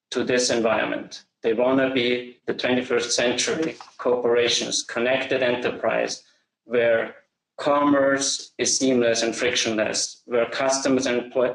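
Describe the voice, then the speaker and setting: Middle-aged austrian guy pitching software, deliberate and steady, roomy echo around him. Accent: Austrian German. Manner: measured, slows to stress key phrases. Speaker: company founder presenting. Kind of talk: business presentation.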